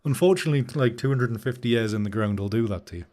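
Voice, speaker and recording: A man's voice: mid-range voice, racing, a little mellow. A man hosting a live stream, chatting casually and rambling while he edits photos, often laughing and answering comments from chat. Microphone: new studio microphone.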